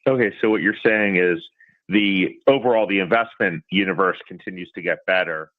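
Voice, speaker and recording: A man's voice: low-pitched, normal speed, no echo. A man speaking as a financial analyst on an earnings call, asking questions in an informal, direct, conversational way. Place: conference call. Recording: dry, tinny telephone line.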